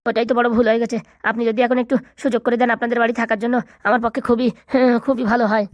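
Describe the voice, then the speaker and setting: Woman playing a meek, fast-talking young cartoon runaway. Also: a little high, flat delivery, dry recording, studio quality, close to an everyday voice. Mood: pleading. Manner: pleading, meek. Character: poor, lovelorn, desperate young runaway man. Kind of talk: comedy cartoon dialogue.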